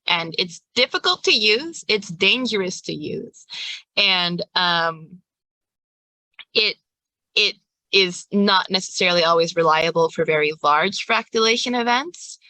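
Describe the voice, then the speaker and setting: A woman narrating a tabletop game as game master, improvising in deliberate phrases with pauses. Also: lowish voice, bright, forceful tone, no echo, low-quality recording.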